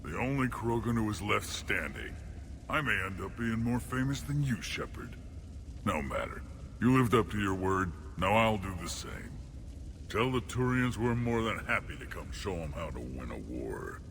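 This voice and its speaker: Battle-proud alien warrior, rumbling bass, heavy gravel, blunt boasting, video game, some background noise. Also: male voice, very animated, moderate pace, fairly bright tone. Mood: somber, wry.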